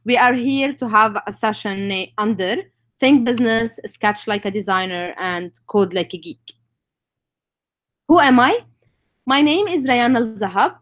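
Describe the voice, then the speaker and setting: High-voiced Lebanese woman presenting online. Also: unhurried, fairly bright tone. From North Lebanon. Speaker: speaker leading an online tech session. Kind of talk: informal webinar presentation. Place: live online stream.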